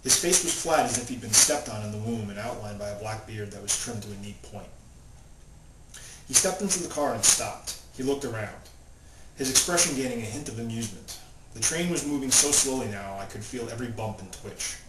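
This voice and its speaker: A man's voice: on the low side, fairly quick. A male author talking informally and reading his novel aloud in his own plain voice, without putting on character voices. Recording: roomy, distant mic, loud background noise.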